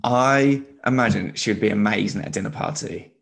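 A man's voice: on the low side, a little fast, gravelly. A man in casual podcast chat, enthusiastic and encouraging as he listens.

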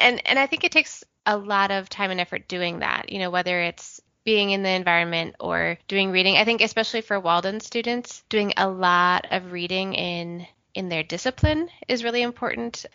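A woman with a bright, clear voice talks earnestly and reflectively, often reaching for "you know." The recording is dry and slightly muffled. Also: on the low side, dry recording. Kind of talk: conversational discussion.

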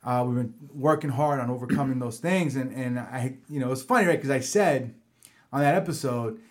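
A man co-hosting a podcast in casual chat, relaxed and rambling with many fillers and restarts. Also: mid-range voice, fairly quick. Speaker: podcast co-host.

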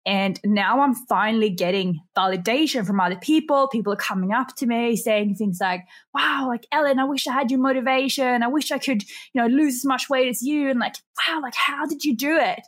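A woman's voice: a little high, brisk, few pauses. A woman from Sweden with a Swedish accent, a binge eating coach chatting as a podcast guest, talkative and full of fillers. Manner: chatty, many fillers, laughs often. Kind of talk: casual interview chat.

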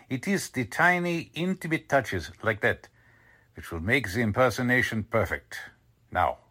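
A man's voice: mid-range voice, a bit bright, breathy edge, exaggerated voice. A man playing a smugly polite, condescending Nazi commander in a 1940s-style radio drama, speaking formally. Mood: sly, satisfied.

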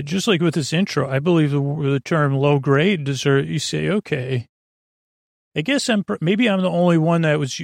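Creaky, meandering male bedtime podcaster. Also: dry recording, clean studio sound. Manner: halting, full of tangents and self-interruptions; self-deprecating, low-key. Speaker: host of a bedtime sleep podcast. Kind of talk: rambling, meandering bedtime monologue.

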